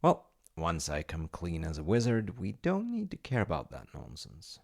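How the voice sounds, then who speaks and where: A man acting a deep, creaky-voiced polite wizard. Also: wildly swooping pitch, moderate pace, darkish tone, full character voice. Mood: muttering to himself. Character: eager, secretly magical wizard fiance running an enchantment business. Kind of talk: ASMR audio roleplay acting.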